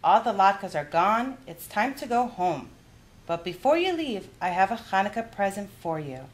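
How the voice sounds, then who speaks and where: A woman voicing a kindly, chatty old grandmother in a storybook, with animated, exclamatory delivery. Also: mid-range voice, moderate pace, pitched above the performer's own voice, close to an everyday voice. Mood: chatty, fussing.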